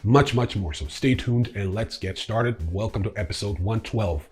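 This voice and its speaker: Male newsreel host: fairly deep, distinctly husky delivery, reading prepared stories with informal, opinionated commentary. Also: normal speed, a little mellow. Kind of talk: scripted news narration with casual opinionated asides.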